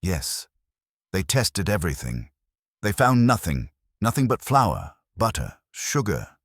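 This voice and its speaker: Man acting as worried small bakery woman, halting, earnest, lively pitch, detective audiobook. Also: breathy edge, dry recording, studio quality, full character voice. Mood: anxious, insistent. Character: anxious, determined small woman bakery owner.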